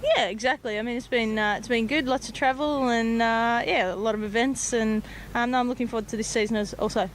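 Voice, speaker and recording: A young woman with an Australian accent and a fairly high voice answers casually in a sports interview. There is faint outdoor noise behind her. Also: fairly even intonation, brisk. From Victoria, Australia. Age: young adult. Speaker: professional golfer. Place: outdoors at a golf course.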